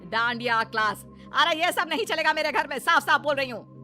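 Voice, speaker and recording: A woman's voice: high-pitched, a little fast, pushed and bright, faint music behind, voice pushed up. A woman voicing a greedy, scolding middle-aged mother-in-law in a cartoon moral story, taunting and sarcastic. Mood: bossy, angry. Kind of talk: animated moral story acting.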